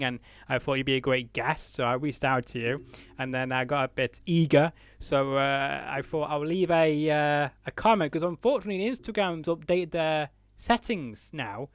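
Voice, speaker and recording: A man hosts a loose, unscripted interview show in a fairly high, smooth voice. He rambles amiably from point to point and likes a joke. The sound is dry and close. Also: fairly bright tone, no echo. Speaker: show host. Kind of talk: live unscripted interview chat.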